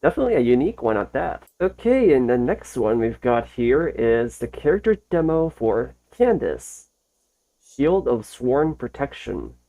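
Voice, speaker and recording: Animated woman giving casual reactions. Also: a bass voice, moderate pace, low-quality recording. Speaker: reaction video host. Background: faint music.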